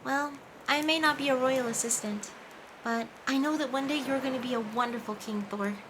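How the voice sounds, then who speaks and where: Young mermaid, female actor: high-pitched, sweet, eager, playful storytime character, noticeable background noise. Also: normal speed, close to an everyday voice. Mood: fond. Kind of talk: scripted storytime performance. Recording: clear ambient noise behind voice.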